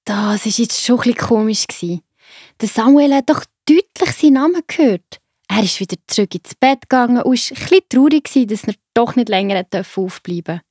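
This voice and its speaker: A woman with a Swiss accent narrating a story for children in a simple, expressive way. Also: on the high side, fairly quick, slightly rough, no echo, studio quality.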